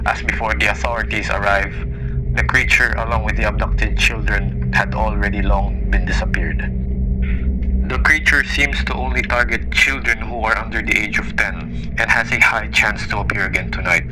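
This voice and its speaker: A man playing a grave government announcer reading a formal, measured emergency alert. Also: on the low side, close to an everyday voice. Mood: grave, urgent. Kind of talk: scripted emergency broadcast narration. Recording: noisy radio-style emergency broadcast.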